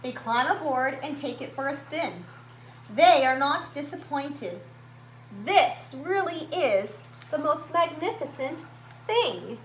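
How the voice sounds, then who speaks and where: A woman reading a picture book aloud to young children, with expressive, dramatic emphasis and drawn-out words. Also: high-pitched. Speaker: children's story-time reader at a library. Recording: roomy echo, faint background noise.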